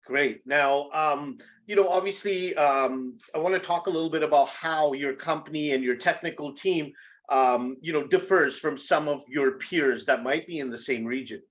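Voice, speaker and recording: A man's voice: a little high, normal speed, a bit bright. A man hosting a business interview over a video call, asking polite questions from an indoor room. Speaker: interview host.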